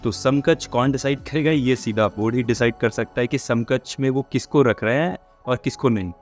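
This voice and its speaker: A man's voice: medium pitch, brisk, faint music behind. A man hosts an informal explainer for exam candidates, mixing in English words as he talks. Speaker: host of a job updates channel.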